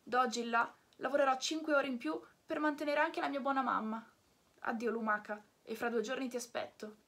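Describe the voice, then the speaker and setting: A woman voicing an eager, warm-hearted wooden puppet boy, breathy and full of tumbling questions. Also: sits high, fairly even intonation, fairly quick. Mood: determined. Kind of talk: storybook reading.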